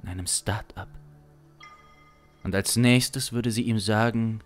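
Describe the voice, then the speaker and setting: A man in his thirties narrating an improvised suspense story in a deliberate, dramatic way, close to a studio microphone. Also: fairly low pitch, steady tone, faint music behind. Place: small indoor streaming studio. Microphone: close studio microphone on boom arm.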